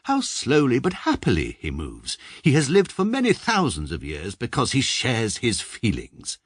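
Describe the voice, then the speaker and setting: An actor playing a short, pompous, detached middle-aged barrister who narrates his own troubles in a measured, sarcastic manner for a radio comedy drama. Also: medium pitch, wildly swooping pitch, no echo, studio quality. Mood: dry.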